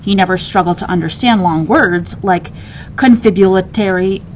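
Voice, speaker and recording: A woman's voice: mid-range voice, normal speed, dull audio, slight background noise. A woman reading a children's story aloud expressively, like a teacher to students, with casual asides.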